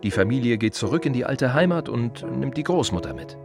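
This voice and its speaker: An actor playing a plain-spoken, wry gravedigger in a radio play monologue, confiding in short halting phrases with dry irony. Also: male voice, mid-range voice, big pitch swings, over music. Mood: wry, reflective.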